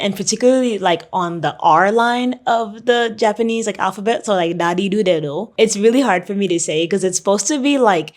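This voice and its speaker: A young woman from Atlanta, chatting animatedly as a podcast guest, laughing often and filling her talk with "like". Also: mid-range voice. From Atlanta, USA. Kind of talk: casual podcast chat. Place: Japan.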